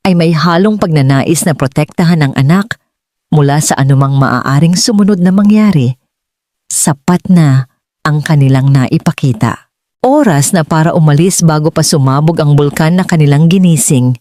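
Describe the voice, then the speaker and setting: A woman's voice: sits low, normal speed, dark tone, dry recording, clean studio sound. A woman with a Filipino accent narrating a scripted dramatic story as an audiobook reader.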